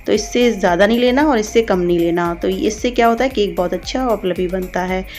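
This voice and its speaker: A woman's voice: medium pitch, brisk, darkish tone, faint music behind. A woman giving informal step-by-step instructions as a cooking channel host. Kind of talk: recipe voice-over, step-by-step instruction.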